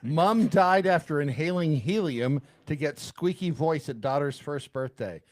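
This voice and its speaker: A man's voice: on the high side, measured pace. A man co-hosting a show, chatting casually and reading odd news items aloud. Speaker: co-host.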